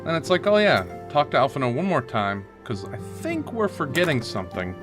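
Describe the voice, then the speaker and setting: Casual, jokey game streamer with lively pitch. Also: a little fast, over music. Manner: jokey, mimics characters' reactions. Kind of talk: casual playthrough commentary.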